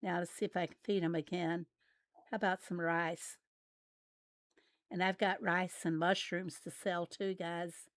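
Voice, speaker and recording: A woman with a raspy voice, chatting casually with viewers while she plays a farming game. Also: on the low side, level tone, no room sound, clean studio sound. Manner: unhurried, chatty, reads out and answers viewer messages. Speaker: game livestreamer. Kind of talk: casual livestream chat while playing.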